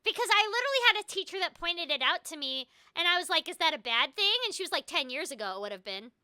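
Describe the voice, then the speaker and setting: A woman's voice: extremely high pitch, sing-song, quick pace, sharp tone, dry recording, studio quality. A woman voice actor hosting a casual stream, chatting loosely with frequent restarts and a noticeable glottal stop, on a headset mic at home.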